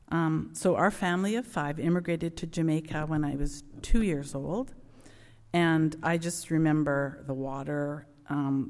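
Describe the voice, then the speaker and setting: A woman's voice: low voice, darkish tone. A middle-aged woman sharing her personal testimony in church, conversational and reflective with frequent ums. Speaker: church member giving testimony. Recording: long church reverb.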